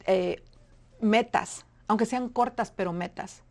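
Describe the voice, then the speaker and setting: A woman's voice: mid-range voice, takes it slowly, darkish tone. A middle-aged woman, a guest in an interview, tells her personal story in an earnest, emotional way, often stopping to search for words.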